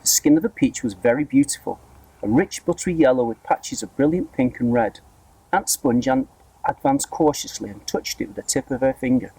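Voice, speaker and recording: A man reading a children's story aloud in an expressive narrating style. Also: medium pitch, a little fast, breathy edge, rough recording. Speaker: storybook reader, school staff member.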